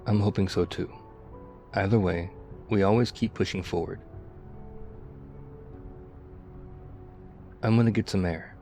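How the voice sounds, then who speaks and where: Man playing a low-voiced, battle-weary apocalypse survivor. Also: fairly even intonation, a little fast, mellow, faint music behind. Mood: reflective, resolute. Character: battle-hardened zombie apocalypse survivor, weary, guarded, quietly caring man. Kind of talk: ASMR audio roleplay, intimate one-sided dialogue.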